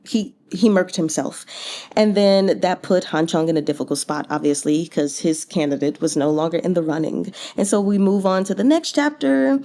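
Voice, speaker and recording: Woman, soft mellow tone, casual book vlogger, conversational, expressive, incredulous asides. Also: on the low side, moderate pace. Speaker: book read-along vlogger. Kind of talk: casual book recap commentary.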